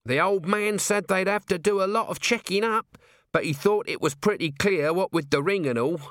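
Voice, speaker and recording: A man's voice: sits high, normal speed, a bit bright, dry recording, studio quality, pitched above the performer's own voice. A man voicing a scruffy small male corporal who moans and whines. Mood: woeful. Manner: moaning, whining.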